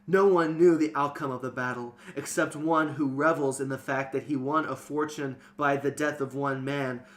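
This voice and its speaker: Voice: medium pitch, fairly bright tone, a little hiss or hum. A podcast host reading a fantasy novel aloud and bantering casually, prone to giggling. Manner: giggly, breaking into laughter. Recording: music behind the voice.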